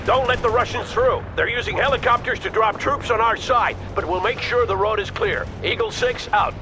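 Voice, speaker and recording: An actor playing a steady, authoritative American army colonel, clipped and composed, giving orders in a video game. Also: male voice, sits high, normal speed, close to an everyday voice. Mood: commanding. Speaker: army colonel, battalion commander. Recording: noisy battlefield behind.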